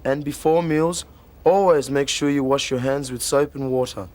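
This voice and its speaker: An actor playing a stern, patronising doctor in an educational film, giving firm instructions. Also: male voice, mid-range voice, moderate pace, a little mellow, natural voice. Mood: instructing. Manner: lecturing, patronising. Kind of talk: acted educational film dialogue.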